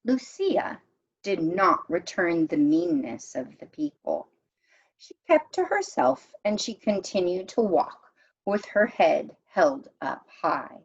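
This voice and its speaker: Slow, expressive woman reading a storybook aloud. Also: on the low side, husky, muffled recording. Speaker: storyteller reading a children's picture book aloud. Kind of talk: read-aloud storytime narration.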